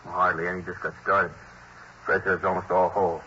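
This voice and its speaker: A lowish, forceful American male voice as a cagey crook in an old radio crime play. He is slangy, grudging and easily irritated. The broadcast sounds dull, with faint hiss. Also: flat delivery, fast talker. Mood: irritable, wary. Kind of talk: 1950s radio crime drama acting.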